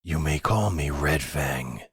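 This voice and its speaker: A gruff werewolf pack leader acted by a man with an extremely low, rough husky voice. He speaks slowly and formally, guarded and protective yet courteous, in an intimate audio roleplay. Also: expressive, a bit bright, dry recording, studio quality, full character voice. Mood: reassuring.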